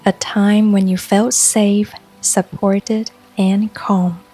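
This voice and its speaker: A woman narrating a scripted guided meditation in a calm, soothing, unhurried way, with long pauses between phrases. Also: mid-range voice. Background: soft music and rain sounds.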